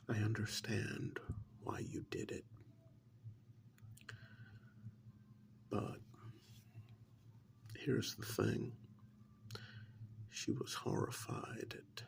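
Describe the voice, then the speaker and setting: Man playing a slow, gravelly, half-whispering sinister agent. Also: on the low side, exaggerated voice. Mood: knowing, brooding. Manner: slow, deliberate, menacing, with long pauses. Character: sinister male agent coolly interrogating and blackmailing a mad scientist. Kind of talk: soft-spoken ASMR role-play monologue.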